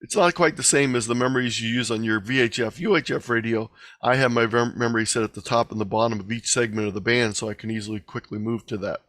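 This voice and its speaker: A man presents an instructional online talk in a medium-pitched voice. He explains things plainly, one step after another, as if guiding learners through slides. The video-call sound is dry, with very little room around the voice. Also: fairly even intonation, quick pace, few pauses, fairly bright tone, no echo. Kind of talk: explanatory slide lecture, lightly extemporized. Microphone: video call microphone.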